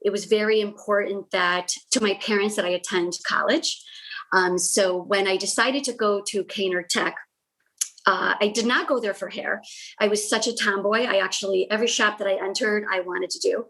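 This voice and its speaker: A woman's voice: medium pitch, steady tone, moderate pace, fairly bright tone, rough recording. A middle-aged woman born in the United States, whose first language was Portuguese, speaking as a guest and reflecting with many "um"s and "you know"s. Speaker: salon owner guest. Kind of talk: casual interview chat.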